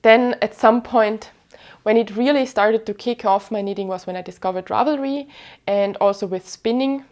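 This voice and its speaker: A woman's voice: mid-range voice, a little mellow. A woman in her thirties from western Austria, a native Alemannic German speaker with an Austrian accent, chatting casually and reflectively as a podcast host, a little self-deprecating. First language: Vorarlbergerisch Alemannic German. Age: 30s. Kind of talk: casual knitting vlog chat.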